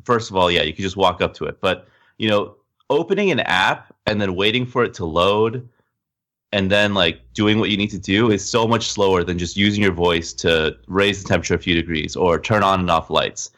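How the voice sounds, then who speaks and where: A man with a low-pitched voice speaks as a podcast co-host. He explains things informally and step by step, in relaxed everyday conversational phrasing. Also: a little fast. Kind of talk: casual explanatory tech chat.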